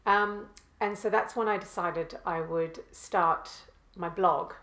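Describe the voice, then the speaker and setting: A woman from Malawi, a guest author, answering interview questions hesitantly with many pauses and restarts. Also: fairly low pitch, steady tone, a bit bright. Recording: echoey room.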